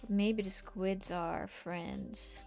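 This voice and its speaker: A woman's voice: mid-range voice, huge pitch swings, very slow, gravelly, darkish tone, faint music behind. A woman streaming while she paints, chatting casually with her viewers and often half-whispering to herself as she works. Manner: chatty, reacting to chat with laughs. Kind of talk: casual chat while drawing.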